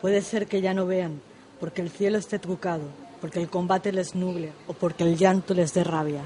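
A woman's voice: fairly low pitch, steady tone, normal speed, mellow, slight background noise. A woman poet speaking as a guest on a radio show, reciting verse and giving short replies. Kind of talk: poetry reading and brief interview replies.

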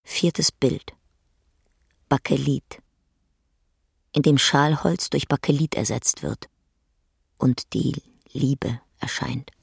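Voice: medium pitch, fairly even intonation, slow pace, creaky, pauses often, slightly rough, no echo, studio quality. A narrator reading terse, scripted stage directions for a radio drama. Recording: prominent plucked guitar music behind.

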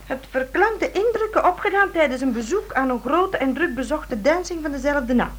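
A woman's voice: high voice, lively intonation, moderate pace. A woman playing a curious, conversational companion of investigators in a radio drama. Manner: inquisitive, conversational.

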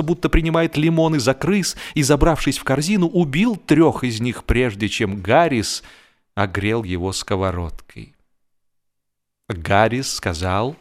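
A man reading humorous fiction as an audiobook narrator, in an expressive, dramatic storytelling manner. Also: mid-range voice. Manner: expressive, acts out the dialogue.

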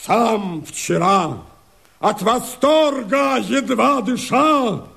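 An actor playing a fervent, urgent rebel Cossack, declaiming verse in an impassioned, drawn-out theatrical manner for a radio drama. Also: male voice, very high voice, creaky, a bit bright, full character voice. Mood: ecstatic, shouting.

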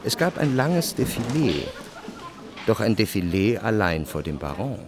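An actor as a measured, reflective narrator reading scripted literary prose in a radio drama. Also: male voice, on the low side, sing-song, crowd noise.

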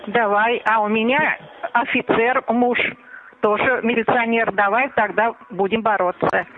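A man's voice: very high-pitched, steady tone, unhurried. A prank caller voicing an indignant middle-aged woman answering her home phone, insisting she never called. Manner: indignant, insistent, talks over others. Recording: tinny phone line.

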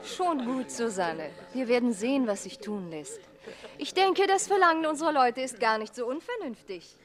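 An actress playing a gracious, dignified countess in a German radio play. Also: female voice, on the high side, very animated, normal speed. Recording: crowd murmuring behind.

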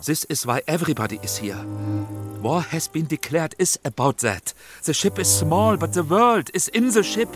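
An actor playing an earnest, flustered émigré film director, with a German accent and halting, broken English, in a radio play. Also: male voice, on the high side, expressive, over music, lightly acted. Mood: earnest, pleading.

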